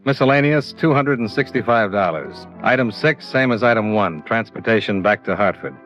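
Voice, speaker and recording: An actor playing a tough, world-weary insurance investigator in a clipped, wry 1950s radio detective style. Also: male voice, fairly low pitch, animated, moderate pace, fairly bright tone, soft background music. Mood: wry narration. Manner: clipped, wry, hard-boiled. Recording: dull old radio recording.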